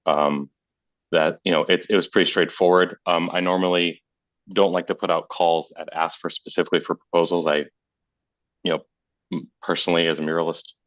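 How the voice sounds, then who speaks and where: A man who is a muralist, hoarse from being under the weather, presenting to a committee and pausing often to search for words. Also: low-pitched, flat delivery, a bit bright, no room sound, dull audio. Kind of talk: meeting presentation.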